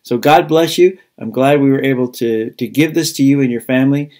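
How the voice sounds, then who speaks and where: A man speaks informally to close a program. His voice is mid-pitched, and he talks in a halting, sincere way, like a school staff member addressing families. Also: darkish tone. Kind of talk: informal spoken sign-off.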